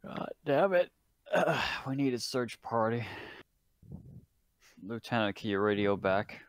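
A man's voice: mid-range voice, animated, slow, no room sound. A man playing a tabletop game, talking casually and sometimes in character.

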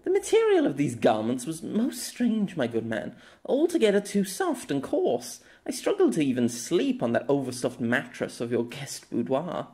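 A man voicing a soft but excitable young fantasy noblewoman with a formal, archaic, curious manner. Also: on the high side, moderate pace. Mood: prim complaint.

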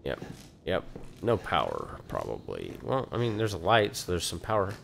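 A hoarse man with a Texan accent, chatting nervously and muttering as he plays a horror game, swearing at the scares. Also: sits low, wildly swooping pitch, moderate pace, a little hiss or hum. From Texas. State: hoarse from allergies. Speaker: let's play gamer.

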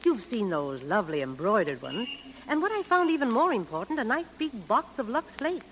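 Cheery, lilting housewife. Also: female voice, fairly high pitch, big pitch swings, normal speed, a bit bright, poor audio. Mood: cheerful. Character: cheery, helpful housewife praising her soap. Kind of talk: 1930s radio commercial acting. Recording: old radio recording, faint noise.

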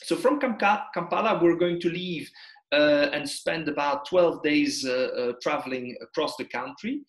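A man's voice: on the high side, normal speed. A man from Belgium who grew up in the Congo, a tour leader giving a slideshow presentation of a trip, with frequent hesitant fillers. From Belgium, raised in Congo. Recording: echoey room on webcam.

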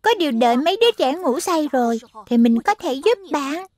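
A woman voicing a small, gentle rabbit who reasons in a childlike way, in a dubbed children's cartoon. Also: high-pitched, lively intonation, fairly quick. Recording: music behind the voice.

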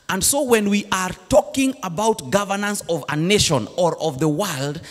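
A man with a Kenyan accent preaching a sermon in a church, switching between English and Swahili slang. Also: a little high. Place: church hall. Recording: long church reverb.

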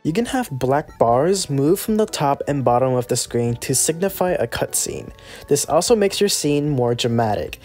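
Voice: medium pitch, expressive, normal speed, darkish tone. Tutorial host, mid-range voice, casual and playful delivery, scripted explainer, music in the background. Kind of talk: scripted software tutorial narration.

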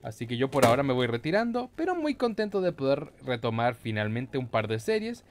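A man's voice: medium pitch, very animated, a little fast, background noise. A man giving casual, slangy, mock-boastful gaming commentary with some swearing. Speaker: gameplay host.

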